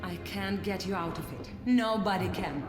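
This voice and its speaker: A woman's voice: medium pitch, normal speed, roomy sound, close to an everyday voice. An actress playing a determined, secretive female security chief in a video game cutscene, commanding and matter-of-fact. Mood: grave, matter-of-fact. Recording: music playing behind the voice.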